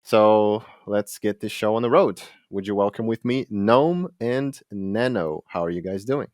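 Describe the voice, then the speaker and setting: A man's voice: lowish voice, measured pace, a little mellow, no room sound, clean studio sound. A man hosting a podcast, a game translator whose first language is Brazilian Portuguese, chatting in a friendly, enthusiastic way. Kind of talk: casual podcast interview.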